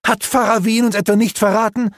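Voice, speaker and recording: A man's voice: sits high, steady tone, fairly quick, dry recording, studio quality, pitched above the performer's own voice, natural voice. A man voicing an agitated, bitter young male apprentice mage.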